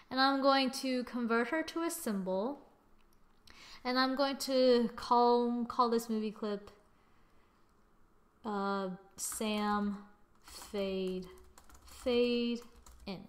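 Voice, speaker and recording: A woman narrating a software tutorial casually, thinking aloud as she goes in an informal way. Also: a little high. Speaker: tutorial presenter.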